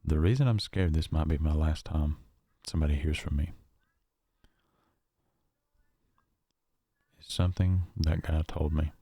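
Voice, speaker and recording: A man playing a shaken, paranoid survivor recording a horror audio log, speaking haltingly with long pauses. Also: rumbling low, warm tone, slightly rough, no echo, studio quality, heavily acted. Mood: fearful, pleading. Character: shaken, paranoid male survivor of a nightmare realm.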